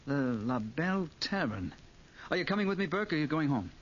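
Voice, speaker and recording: An actor playing an eager, cocky American who is morbidly fascinated by crime, in 1940s radio acting style. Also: male voice, a little high, big pitch swings, fairly quick. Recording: muffled, noisy 1940s radio recording.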